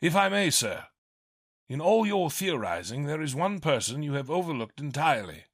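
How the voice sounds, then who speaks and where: An actor playing a loyal, tactful older butler, formal and politely pointed, in a scripted motion comic. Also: male voice, on the high side, big pitch swings, normal speed, husky, full character voice. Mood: polite, cautionary.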